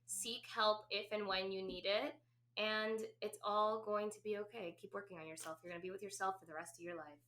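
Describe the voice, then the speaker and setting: A woman's voice: mid-range voice, fairly even intonation, brisk, a bit bright, rough recording. A woman co-hosting a podcast, wrapping up with supportive, encouraging words. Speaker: co-host. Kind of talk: casual chat.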